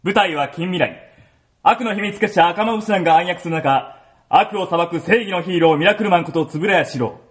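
A man's voice: sits high, brisk, lightly acted. A man in an amateur radio drama, reading a dramatic trailer in a theatrical voice. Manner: theatrical, dramatic trailer delivery, laughs at flubs. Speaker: voice actor.

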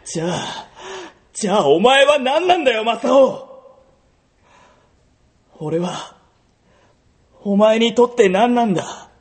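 A man playing a blunt, emotional teenage boy, a gruff but loyal friend, in an amateur radio drama. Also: very high voice, animated, slow pace, long pauses, exaggerated voice. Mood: frustrated.

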